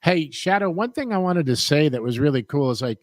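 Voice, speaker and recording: A man's voice: on the high side, expressive, brisk, no echo. An older man hosting a podcast, telling rambling, laid-back stories with lots of fillers. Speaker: podcast host. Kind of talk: casual interview chat.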